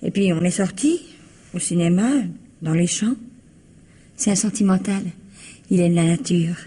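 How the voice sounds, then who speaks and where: An actress playing a naive, indignant, chatty young housemaid in a French radio mystery play, with colloquial, gushing, plaintive delivery. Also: female voice, fairly low pitch, unhurried, warm tone, husky. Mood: wistful, chatty.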